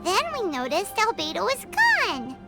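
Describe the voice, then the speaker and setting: An extremely high, squeaky game fairy girl, with wildly swooping intonation. She is excitable, blunt and theatrical, like a tiny child companion, over loud background music. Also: measured pace, full character voice. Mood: earnest, recounting. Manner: exclamatory, dramatic, repeats words. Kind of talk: animated game voice acting.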